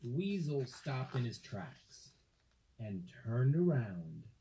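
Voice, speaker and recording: A man narrating a children's story from a script, with dramatic, deliberate phrasing and expressive emphasis. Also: mid-range voice, a little hiss or hum, poor audio.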